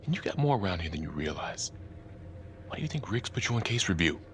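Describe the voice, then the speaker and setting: Crime game detective partner: very low register, exasperated yet loyal, terse, frank delivery. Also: male voice, big pitch swings, fast, a bit bright, slight background noise, full character voice. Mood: earnest, defensive. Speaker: police detective. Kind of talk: cinematic crime game acting.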